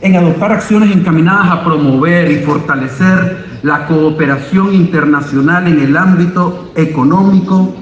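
A man reading a formal, declamatory political speech as a parliamentary delegate. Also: fairly high pitch. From Nicaragua. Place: large conference hall. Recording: echoey hall, dull sound, faint noise.

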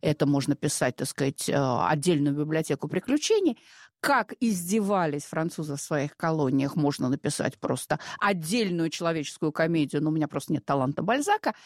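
A woman's voice: deep voice, animated, brisk, a little mellow, no room sound. A Russian woman speaking as a guest in a studio discussion, in a discursive, hesitant way full of fillers.

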